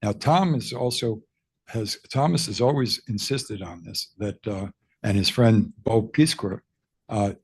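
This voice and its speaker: A man's voice: fairly low pitch, measured pace, slight background noise. A man asking a long question at a roundtable, rambling and restarting his sentences. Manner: rambling, self-correcting. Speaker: audience questioner. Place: press club conference room.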